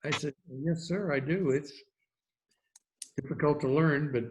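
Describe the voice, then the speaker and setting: A man's voice: a little high, measured pace, a little hiss or hum, poor audio. Older man telling rambling stories. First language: English. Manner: rambling, reminiscing storyteller. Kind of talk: casual anecdotal chat. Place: online video call.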